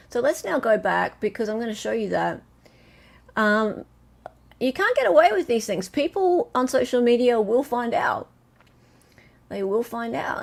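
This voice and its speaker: Chatty Australian woman hosting a livestream. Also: fairly high pitch. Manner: chatty, excitable, reads out chat comments. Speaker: livestream host. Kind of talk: casual live-stream chat.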